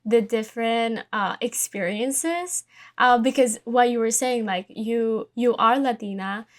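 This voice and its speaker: Podcast host, a woman with a Colombian accent and a native Spanish speaker, with a fairly high voice. Conversational and searching. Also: unhurried. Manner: reflective, with many fillers and restarts. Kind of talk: casual interview chat.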